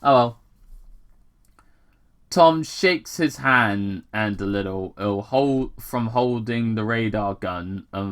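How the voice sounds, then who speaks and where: A man's voice: on the low side, fairly bright tone. A man casually reading fan fiction aloud with commentary, stumbling over words, repeating himself and swearing in asides.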